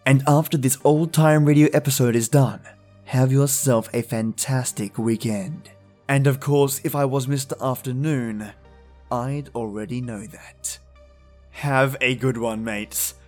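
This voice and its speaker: A man's voice: medium pitch, fairly even intonation, unhurried, a little mellow. Male story host speaking over music. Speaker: podcast host. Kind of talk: scripted spooky story introduction.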